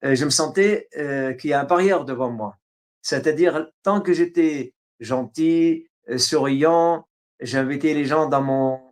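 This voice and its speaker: Interview guest: middle-aged man, Arabic accent, slightly higher voice, halting, many fillers. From Syria. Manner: halting, frequent fillers and restarts. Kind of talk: autobiographical interview.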